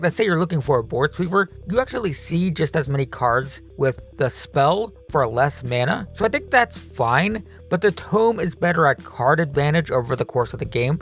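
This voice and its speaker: Hoarse, gravelly-voiced man explaining card decks. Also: on the high side, a bit bright. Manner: chatty, hedging, repeats himself. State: losing his voice. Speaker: card game deck upgrade guide host. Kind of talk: casual explanatory voice-over.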